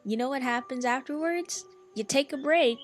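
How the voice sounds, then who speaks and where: A woman streamer giving casual gameplay commentary, gushing and halting, often repeating herself. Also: high-pitched, faint music behind. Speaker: streamer reacting to a game.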